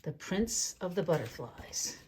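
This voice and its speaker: A woman reading a children's story aloud in an expressive, deliberate way with dramatic phrasing. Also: lowish voice, slight background noise. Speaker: storybook reader. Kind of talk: read-aloud children's story narration with asides.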